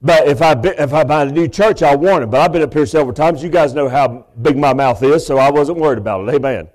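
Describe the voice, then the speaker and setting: Man in his fifties, preacher, big booming voice, folksy asides, slightly high pitch, pulpit mic. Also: quick pace, darkish tone, rough recording. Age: 50s. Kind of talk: sermon. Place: church sanctuary. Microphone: pulpit microphone.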